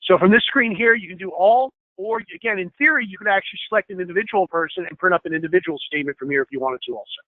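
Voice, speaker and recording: A man gives a screen-share software training, explaining each step with plenty of fillers and a slightly hoarse voice. His voice is fairly high for a man and he talks quickly. The sound is thin and tinny, like a phone line, and very dry. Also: dry recording. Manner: explanatory, many fillers, asks for questions. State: slightly hoarse, clearing throat.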